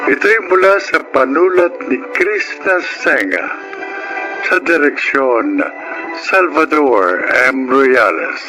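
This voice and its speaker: A man's voice: medium pitch, animated, slow, low-quality recording. A man reading formal scripted announcements as the host of a radio drama programme. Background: music under the voice.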